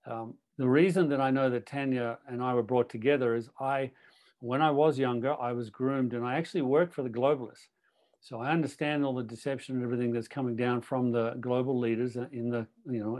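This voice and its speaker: A Canadian-born man with an Australian accent, sharing his personal testimony as a guest speaker in an earnest, halting way with many restarts. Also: medium pitch, darkish tone.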